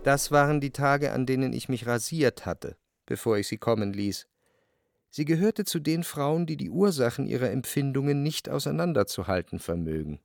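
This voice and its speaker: An actor playing an introspective, analytical narrator in a literary radio drama, with a measured, reflective delivery. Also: male voice, mid-range voice, lively intonation, creaky, faint music behind. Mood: analytical, musing. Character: introspective, analytical male narrator looking back on love.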